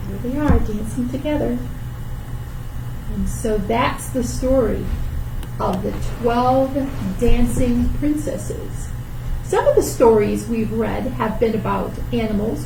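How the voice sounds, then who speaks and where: A woman hosting a children's storytime, talking in simple, encouraging phrases as she reads and teaches rhymes. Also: fairly high pitch. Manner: simple, encouraging talk addressed to small children. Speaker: library storytime host. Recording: roomy, distant microphone with background noise.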